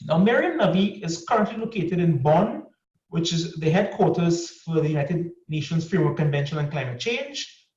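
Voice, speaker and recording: A man hosting an online course session, giving a measured, formal introduction of a panelist over a video call. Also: fairly high pitch, lively intonation, a little mellow. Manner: measured, formal delivery with drawn-out phrases. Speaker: course host. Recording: distant, echoey, muffled video call audio.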